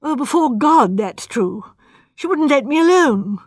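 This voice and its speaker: A frantic young man stammers and pleads in a strained, high voice, as a woman reads him aloud. His words tumble out in a dry, close sound. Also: animated, a little slow, a little mellow, no echo. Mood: miserable. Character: nervous, distraught young male architect.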